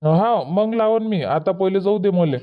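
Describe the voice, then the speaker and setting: A man's voice: sits high, moderate pace, faint music behind, close to an everyday voice. A man voicing an impatient, stubborn village boy in a cartoon comedy, whiny and argumentative, with a Varhadi Marathi accent. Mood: pleading, impatient. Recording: dull, muffled lo-fi audio.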